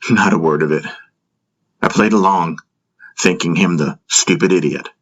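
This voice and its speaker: A man voicing a sardonic, world-weary king who speaks haltingly to his brother in a fantasy audiobook. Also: low voice, fairly bright tone. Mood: hesitant, confessional. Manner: sarcastic, halting, hesitant. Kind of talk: fantasy audiobook character acting.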